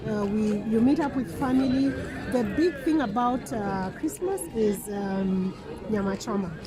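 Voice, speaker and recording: A woman's voice: on the high side, expressive, measured pace. A woman from Kenya chatting casually and laughing as she talks about her home customs, recorded outdoors in a city. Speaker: guest. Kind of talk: casual chat. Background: crowd chatter.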